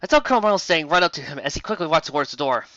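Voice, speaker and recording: A host giving a comedic dramatic reading of fan fiction, theatrical and excitable, with exasperated asides. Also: mid-range voice, rapid-fire, no room sound, lo-fi sound.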